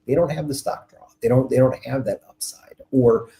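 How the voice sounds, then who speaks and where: A middle-aged man, a guest on an interview, making emphatic points and reasoning out loud with restarts. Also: mid-range voice, moderate pace. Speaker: guest, relocation author. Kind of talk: casual interview answers.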